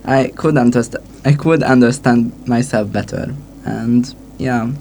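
A man from Hungary, a guest on a casual radio chat, speaking haltingly with restarts. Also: medium pitch, a little mellow, slight background noise.

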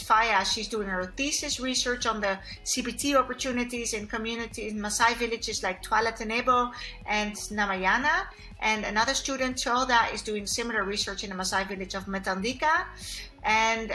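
Kenyan-born woman interview guest, fairly high pitch, halting delivery, faint music bed. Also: bright, forceful tone, poor audio. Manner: many fillers and restarts, earnest. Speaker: guest, sustainable tourism expert. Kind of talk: conversational interview answers.

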